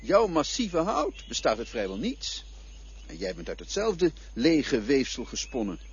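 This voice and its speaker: A man playing a calm, cryptic male guide who speaks of the dead in a patient, lecturing way, in a radio play. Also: mid-range voice, measured pace, slightly rough, heavily acted. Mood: mocking. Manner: patient, lecturing, quietly mocking. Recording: dull lo-fi old radio recording.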